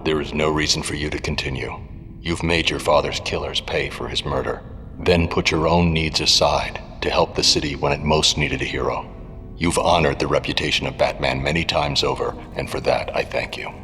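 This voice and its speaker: An actor playing a stern, brooding old retired hero in an audio drama, gruff, grave and terse. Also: male voice, very deep voice, fairly even intonation, moderate pace, sharp tone, faint music behind, exaggerated voice. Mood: solemn. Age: older. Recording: long cavernous reverb.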